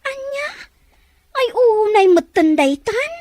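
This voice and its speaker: A woman acting an excitable, anxious female friend in a melodramatic Filipino audio drama. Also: very high voice, slow pace, slight background noise, exaggerated voice. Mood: dismayed.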